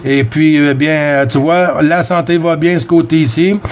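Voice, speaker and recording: Higher-voiced Quebec French radio hobbyist man. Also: steady tone. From Quebec, Canada. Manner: friendly, repetitive, halting phrasing. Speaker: amateur CB radio operator. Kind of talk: casual radio chat with callsigns.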